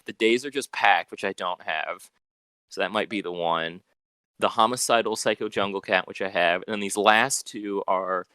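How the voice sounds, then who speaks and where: A young man hosting a casual, unscripted podcast, rambling a little as he thinks out loud. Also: low voice, clear, resonant tone, dry recording. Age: young adult. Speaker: podcast host.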